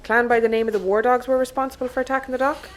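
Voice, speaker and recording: An Irish actress playing a wry, grudging cargo ship captain with a dry, sardonic manner in an audio drama. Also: female voice, a little high, fairly even intonation, racing, close to an everyday voice. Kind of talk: scripted audio drama acting.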